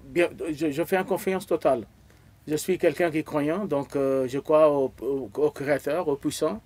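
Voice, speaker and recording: A man's voice: a little high, fairly even intonation, a little mellow. Earnest older man. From Pakistan. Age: around 70. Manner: earnest, halting, repeats words while searching for them. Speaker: interview guest. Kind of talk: casual interview answers.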